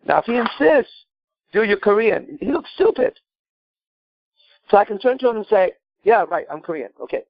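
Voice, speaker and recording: A man with a fairly high voice coaches a caller in an emphatic, repetitive way, leaning on rhetorical questions and blunt language. The sound is very dry and a little dull, like a lo-fi live talk show. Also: sing-song, moderate pace, vocal fry, long pauses, dry recording. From Iran. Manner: emphatic, profane, repeats himself, asks rhetorical questions. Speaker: podcast host and coach. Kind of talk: live call-in advice, improvised coaching.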